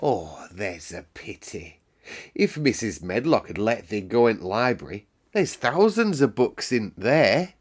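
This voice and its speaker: A man's voice: fairly low pitch, sing-song, unhurried. A young housemaid from a storybook, acted out by a man reader. The character speaks in broad dialect, prodding and chiding with a blunt but kindly temper. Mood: sympathetic.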